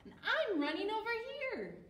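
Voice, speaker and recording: Lady as eager lil copycat penguin in a storybook, high and loud, roomy far-off mic. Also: wildly swooping pitch, moderate pace, exaggerated voice. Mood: excited, playful. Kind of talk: children's picture-book character voice. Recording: roomy, distant mic with background noise.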